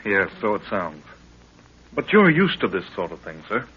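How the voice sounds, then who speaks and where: Earnest young man, low husky voice. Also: very animated, normal speed, full character voice. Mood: worried. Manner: polite, respectful, worried. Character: earnest, anxious young man, deferential novice adventurer. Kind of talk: 1930s radio drama acting. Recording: muffled 1930s radio recording.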